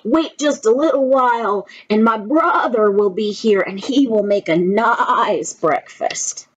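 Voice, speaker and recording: A woman's voice: fairly high pitch, slow, husky, lo-fi sound. A woman voicing a timid, polite young male billy goat in a children's storybook tale. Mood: pleading. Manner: polite, pleading.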